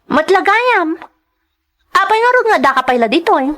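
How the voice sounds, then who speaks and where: A woman's voice: very high voice, very animated, normal speed, fairly bright tone, faint music behind, pitched above the performer's own voice, full character voice. A woman playing an irritable, haughty young village woman in a radio-style drama, curt and scolding, with an Ilocano accent. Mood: curt, annoyed.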